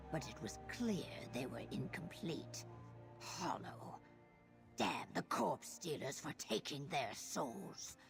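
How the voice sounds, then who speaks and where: A woman voicing a grim, bitter village leader in a video game, blunt and weary, with a breathy delivery and music behind. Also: a bass voice, exaggerated voice. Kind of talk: video game character acting.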